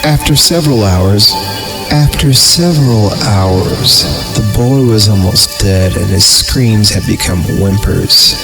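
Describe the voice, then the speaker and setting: A man's voice: fairly low pitch, slow, gravelly, low-quality recording. A man hosting a podcast, reading horror stories aloud in a mock-dramatic way and breaking off for wry asides. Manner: wry, savoring words, breaking off to comment. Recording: loud music under the voice. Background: music.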